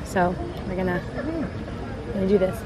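A woman's voice: fairly low pitch, monotone, normal speed. A woman in her thirties chatting casually on a vlog at a theme park, candid and a little hesitant, recorded on a handheld camera. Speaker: vlogger. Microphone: handheld camera at arm's length. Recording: outdoor park with music and crowd noise. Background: crowd and park music.

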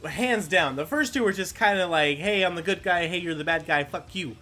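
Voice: mid-range voice, moderate pace, sharp tone, faint music behind. An enthusiastic, chatty gaming YouTuber, giving casual let's play commentary.

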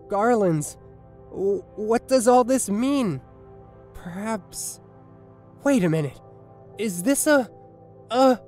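A man voicing a tender, lovestruck male fox in a comic dub, speaking in halting, earnest wonder. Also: a little high. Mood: dazed wonder. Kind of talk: dramatic comic dub monologue.

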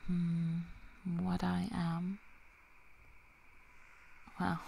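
Very slow, creaky, hushed young werewolf woman, acted by a woman. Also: lowish voice, slight background noise, exaggerated voice, rough recording. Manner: slow, hushed, breathy delivery with long pauses. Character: young female werewolf, protective, teasing pack daughter. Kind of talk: ASMR roleplay, soft half-whispered.

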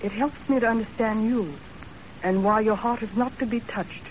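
A woman's voice: a little high, normal speed, close to an everyday voice. A woman playing a self-possessed, sceptical young servant in a composed, plain-spoken 1930s radio acting style. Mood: cool, sceptical. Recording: muffled, faintly noisy 1930s radio broadcast.

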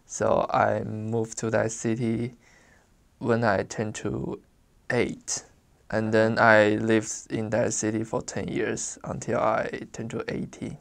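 A young man from China speaks accented English as a second language, pausing often to find his words and laughing easily. His voice is gravelly with a flat, even pitch. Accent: Chinese. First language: Chinese. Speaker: interviewee. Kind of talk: casual life-story interview.

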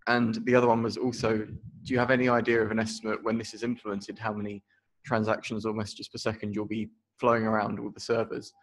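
A man in the audience asking a question at a tech meetup, loud-voiced. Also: on the low side, poor audio. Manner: loud, fast, flat delivery. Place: meetup talk venue indoors.

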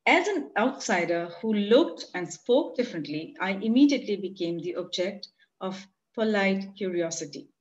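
A woman in her forties with an Indian accent, giving a prepared academic conference talk in a measured, earnest way over a video call. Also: mid-range voice, animated. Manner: measured, earnest, read from notes. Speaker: conference presenter. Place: home room, video call. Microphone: computer mic on a video call. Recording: muffled lo-fi video call audio.

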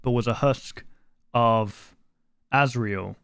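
A man's voice: medium pitch, takes it slowly, vocal fry, fairly bright tone, smooth, dry recording. A man in his twenties giving casual reaction commentary and reading captions aloud into a close desk microphone. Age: 20s. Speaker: reaction video host. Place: small home room, indoors. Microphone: desk microphone close to mouth, headset worn.

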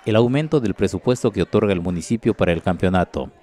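A man's voice: sits low, fast talker, dark tone. A man delivering scripted news narration as a reporter.

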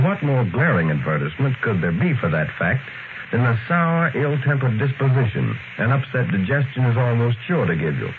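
An American man announcing in polished, formal vintage radio style, reading a scripted script as the programme's presenter. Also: mid-range voice. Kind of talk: 1930s-40s radio announcing, scripted ad and narration. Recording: old radio broadcast, narrow band, noisy.

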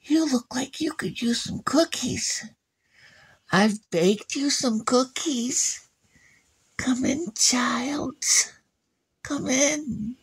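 A man's voice: very high-pitched, extremely slow, long pauses, slightly rough, no room sound, pitched above the performer's own voice, heavily acted. A man voicing a creepy, child-eating old woman in a bedtime horror story, coaxing and sinister in a sugary way. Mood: coaxing, sly.

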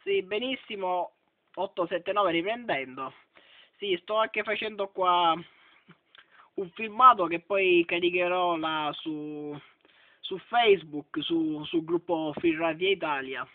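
A man's voice: high voice, animated, slow pace, no room sound. A man chatting as a radio operator, using call signs and on-air procedure. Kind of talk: radio contact chat with call-sign sign-offs. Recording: tinny phone-like sound, loud radio noise.

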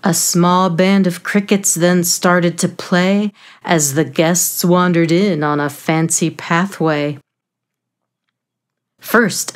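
Lady reading a kids rhyming book aloud, slow and expressive, slightly low voice. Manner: expressive, measured storytelling. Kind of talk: storybook narration in rhyme.

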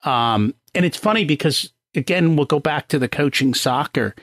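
Loud-voiced middle-aged male podcast host. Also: a little high, moderate pace, no echo, clean studio sound. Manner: animated, personal storytelling. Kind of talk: conversational interview.

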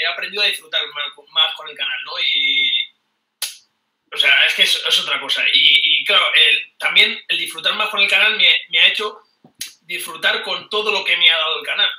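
A man's voice: high voice, normal speed, sharp tone, far from the mic. A man in his thirties from Spain, chatting casually as a guest in an interview over a video call, telling stories with energy and laughing often. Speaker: board game youtuber guest. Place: small room at home, indoors. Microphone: webcam mic on a video call. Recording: roomy webcam audio from off-mic.

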